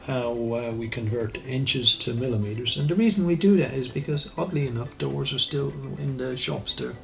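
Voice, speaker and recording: A man with an Irish accent teaching architectural CAD over a screen recording, relaxed and thinking aloud as he works, often correcting himself. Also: mid-range voice, moderate pace, creaky, faint music behind. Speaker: architectural designer and CAD tutor. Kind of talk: screen-recorded software tutorial.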